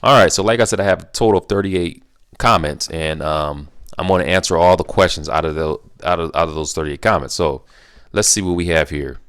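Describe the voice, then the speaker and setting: A man's voice: sits low, a little fast, a bit bright, clear, resonant tone, no echo, studio quality. A man hosting a casual solo Q&A video, rambling and restarting his sentences in a laid-back, playful way. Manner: rambling, repeats himself, restarts often. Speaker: YouTube Q&A host.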